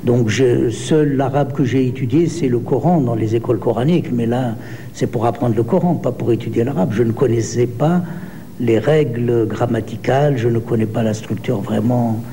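An older man in his seventies, from Algeria, speaks slowly in a very dark, mellow voice, pausing and hesitating often as he answers interview questions. A long reverb hangs on the recording, with faint noise behind him. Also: mid-range voice. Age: 70s. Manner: slow, hesitant, many pauses and fillers. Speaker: poet.

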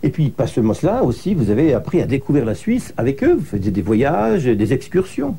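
Male interviewer with a dark voice. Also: mid-range voice, huge pitch swings, a little fast, gravelly, a little hiss or hum. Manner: polite, leading questions, sums up guests' story. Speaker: interviewer, host. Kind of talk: broadcast interview questions.

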